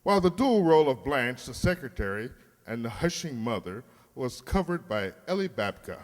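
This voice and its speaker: A man announcing a radio play from a script in a wry, theatrical, measured manner. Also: medium pitch, sing-song. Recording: hall reverb, faint noise.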